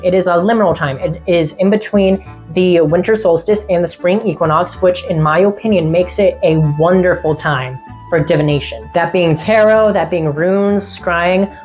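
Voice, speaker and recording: Chatty lady with a lowish slightly raspy voice, rambling friendly vlog, soft music behind. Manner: rambling, friendly, laughs often. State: voice getting a little raspy. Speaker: witchcraft vlogger.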